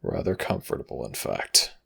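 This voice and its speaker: A man voicing an ancient Egyptian death god in an ASMR roleplay, tender and protective but quietly vengeful, murmuring slowly and formally with a gravelly, breathy rasp. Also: extremely low pitch, very animated, heavily acted. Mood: tender, content. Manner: slow, hushed, formal archaic phrasing. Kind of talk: ASMR audio roleplay, intimate murmured acting.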